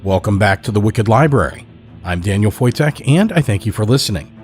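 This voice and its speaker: A man hosting a horror fiction podcast and reading its stories in a measured, dramatic way. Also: faint music behind. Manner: measured, dramatic storytelling with lively pitch. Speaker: podcast host and narrator. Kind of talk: scripted horror narration.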